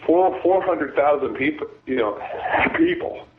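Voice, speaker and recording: A man, a former deputy sheriff, talking as a guest with a raspy voice from a cold, halting and restarting his sentences. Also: fairly high pitch, wildly swooping pitch, slight background noise. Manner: halting, many restarts and repeated words. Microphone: phone. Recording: phone line.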